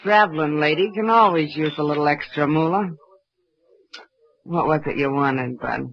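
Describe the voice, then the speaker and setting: A woman's voice: deep voice, normal speed. Tough boozy female fortune teller, American accent, curt, slangy, 1940s radio drama, dull sound. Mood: boastful. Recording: dull restored old radio recording.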